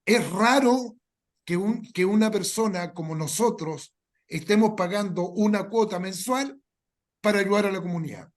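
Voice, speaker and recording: A man co-leading a workshop, explaining informally in a hesitant, halting way. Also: sits high. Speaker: workshop co-leader.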